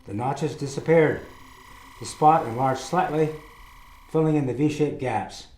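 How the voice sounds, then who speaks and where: A man acting as the measured, ominous narrator of a science thriller radio play, reading from a script. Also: on the high side, a little mellow, faint music behind, lightly acted. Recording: echoey room.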